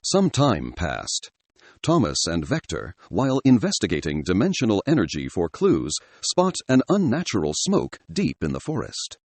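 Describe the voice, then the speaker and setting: A man's voice: on the low side, huge pitch swings, normal speed, breathy edge. A man reading scripted dramatic narration as a story narrator.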